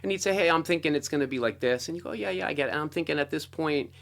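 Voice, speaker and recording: A man's voice: fairly high pitch, racing, creaky, fairly bright tone. A middle-aged American man telling stories as an interview guest in a casual, enthusiastic, rambling way, often saying "like" and "you know". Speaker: interview guest, film and television composer. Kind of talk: casual conversational storytelling.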